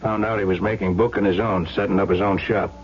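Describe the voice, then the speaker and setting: A domineering crime boss acted by a man talks in curt, clipped phrases with a low, gruff voice. He is impatient and suspicious, in an old radio play that sounds muffled and a little noisy. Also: fairly even intonation, quick pace, natural voice. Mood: guarded, businesslike. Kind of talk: old-time radio crime drama acting.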